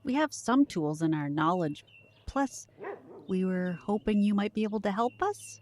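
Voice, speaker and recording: Lively-pitched, focused young woman played by an actress. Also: normal speed, close to an everyday voice.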